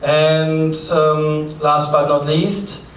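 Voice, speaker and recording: A man's voice: on the high side, completely flat delivery, very slow. A man with a German accent opening a conference as its host, giving practical announcements in a chatty way with light asides. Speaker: forum host. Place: large conference hall. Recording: echoey hall, distant mic, faint noise.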